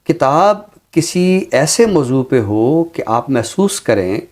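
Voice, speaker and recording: Male literary scholar, Pakistani accent, mid-pitched voice, formal and explanatory talk-show discussion. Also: big pitch swings, takes it slowly, a little mellow.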